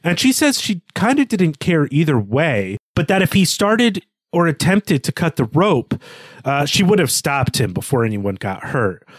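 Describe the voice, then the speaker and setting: A man's voice: a little high, sing-song, unhurried, breathy edge, no room sound. A man co-hosting a podcast, analysing a story in a conversational way. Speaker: podcast co-host.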